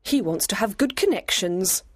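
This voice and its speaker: Husky, poised young gentlewoman played by an actress. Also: mid-range voice, big pitch swings, moderate pace, no room sound, clean studio sound. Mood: firm, haughty. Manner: poised, firm, persuasive. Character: confident young gentlewoman, bossy matchmaking friend. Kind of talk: dramatised audiobook acting.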